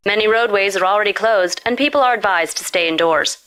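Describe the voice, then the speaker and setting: A woman acts a newsreader in a video game. Her medium-pitched voice delivers a formal, serious broadcast bulletin. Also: a little fast, fairly bright tone, natural voice. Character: serious female radio news weather announcer.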